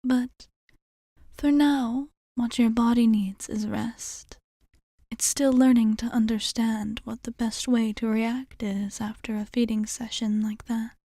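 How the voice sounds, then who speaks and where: A woman playing a courteous, fond, centuries-old vampire bartender in an ASMR roleplay, speaking formally and softly, often in a breathy whisper, with lingering pauses. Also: a little high, steady tone, no echo, clean studio sound. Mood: tender, soothing.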